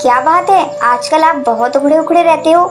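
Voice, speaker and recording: A woman's voice: high-pitched, moderate pace, natural voice. A woman voicing a kind, trusting mother sparrow in a cartoon, earnest and emotional, with music behind. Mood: worried. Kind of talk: dubbed cartoon acting.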